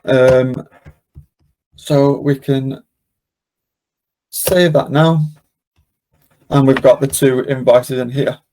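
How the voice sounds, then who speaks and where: A man presenting an informal software walkthrough, explaining casually step by step. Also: mid-range voice, fairly even intonation, drawn-out words, long pauses, slight background noise, low-quality recording. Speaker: software demonstrator, webinar presenter. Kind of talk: informal screen-share walkthrough.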